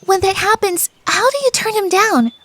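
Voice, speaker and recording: A woman voicing an earnest, kindhearted high school senior girl in an anime fan radio play, talking in a casual, girlish way. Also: normal speed, bright, forceful tone. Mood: friendly.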